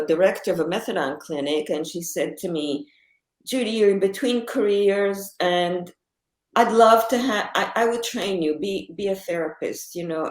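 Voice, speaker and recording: A woman's voice: on the low side, reverberant. A woman in her sixties, an author and psychotherapist born in Israel, talking about her life in an interview, with many fillers and restarts. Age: 60s. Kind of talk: conversational interview.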